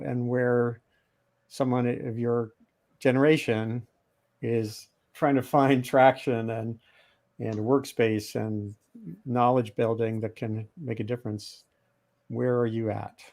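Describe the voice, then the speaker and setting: A man's voice: medium pitch, vocal fry, darkish tone. A man hosting a webcast discussion over a video call, asking questions hesitantly with many restarts. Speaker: webcast host.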